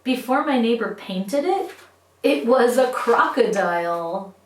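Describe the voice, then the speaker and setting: Woman, teacher's read-aloud style, higher pitch, expressive, clear phrasing, speaking to children, echoey room. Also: slow. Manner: expressive, clearly phrased, addresses young listeners directly. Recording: roomy echo, distant microphone.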